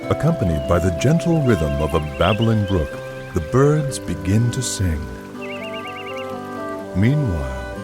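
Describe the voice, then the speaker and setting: An actor as a poetic storyteller, giving measured, solemn, literary narration for a video game. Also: male voice, deep voice. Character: poetic male storyteller setting a pastoral scene. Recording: loud music, running water behind.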